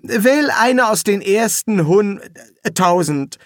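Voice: mid-range voice, big pitch swings, takes it slowly, no echo, studio quality, voice pushed up. A narrator voicing an eager, gullible young male demonologist who makes demands and then pleads wistfully. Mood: pleading. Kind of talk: audiobook reading.

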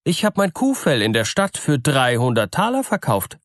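A man voicing a sly, smooth-talking peasant trickster in a fairy tale, measured, knowing and teasing. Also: mid-range voice, sing-song, a bit bright, no echo, clean studio sound, voice pushed up.

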